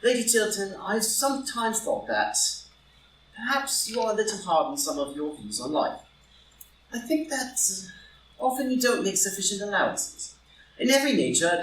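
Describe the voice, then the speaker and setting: A man acts out a witty aristocratic idler who is an earnest friend underneath. His pitch moves freely and his phrases halt and resume. It is a stage comedy read live in an echoing room, picked up from a distance. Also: a bit bright. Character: witty, idle English lord, earnest loyal friend. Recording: roomy, distant, noisy live group recording.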